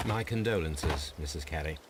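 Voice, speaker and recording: A man playing a composed, courteous gentleman detective in a video game, speaking in a formal, measured, probing way. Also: very deep voice, noisy background. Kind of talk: scripted game dialogue.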